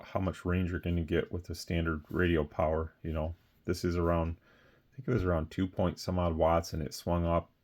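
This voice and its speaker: A man's voice: low-pitched, a little mellow. A man giving a casual, unscripted product rundown as a seller, plainspoken and rambling. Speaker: radio seller presenting a product. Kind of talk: casual off-the-cuff product talk.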